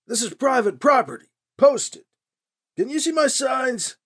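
Man doing a gruff old landowner shouting. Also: high voice, very animated, fairly quick, voice pushed up, exaggerated voice. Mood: stern shouting. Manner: shouting, suspicious and stern. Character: gruff older male landowner with a shotgun. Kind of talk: audio drama reading.

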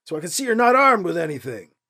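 A man voicing a gruff older male landowner with a shotgun, who shouts and sounds stern and suspicious. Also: sits high, very animated, quick pace, full character voice. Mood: stern shouting. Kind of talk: audio drama reading.

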